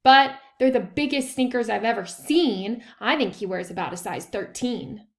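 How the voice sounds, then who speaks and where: An eager schoolboy detective from a children's storybook, acted out by a woman reader. The delivery is animated and emphatic, full of confidence, with some creak in the voice. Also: fairly high pitch, normal speed, lightly acted. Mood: confident. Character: eager, confident schoolboy amateur detective who loves food.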